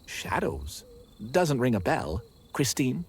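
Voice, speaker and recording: Theatrical, eager eccentric cult leader. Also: male voice, medium pitch, huge pitch swings, takes it slowly, slightly rough, heavily acted. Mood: earnest, awed. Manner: theatrical, formal, old-fashioned wording, enthusiastic. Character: eager, eccentric male leader of amateur pagan ritual club. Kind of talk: video game voice acting.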